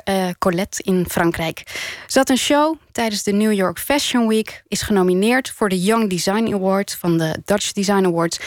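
A woman's voice: mid-range voice, dry recording. A woman working as a radio host, reading introductions and putting questions to a guest in a studio interview.

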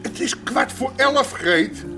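An actor playing a gruff, hot-tempered middle-aged father and underworld boss in a Dutch radio drama, barking and blustering. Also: extremely high pitch, lively intonation, slow, over music, exaggerated voice. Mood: grumbling, protesting.

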